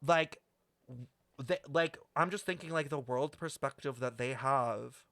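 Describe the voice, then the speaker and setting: A brash, sweary livestreamer chatting casually and rambling through an explanation, playfully scolding viewers with theatrical emphasis. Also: medium pitch. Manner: animated, theatrical emphasis with drawn-out words. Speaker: streamer reacting to a video game story. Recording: roomy echo, faint music behind.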